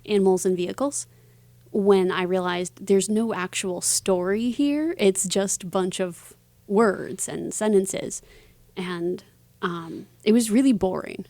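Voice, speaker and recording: A woman speaks as an author being interviewed, in a casual, conversational style. Her voice sits at a middle pitch. The recording is dry, with no real room sound. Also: a little mellow, no room sound.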